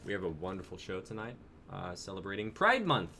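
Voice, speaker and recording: A man's voice: low-pitched, sing-song, a little fast, a crowd in the background. A man in his twenties casually hosting a livestream show. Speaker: host of a live-streamed reading show. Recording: clear background noise.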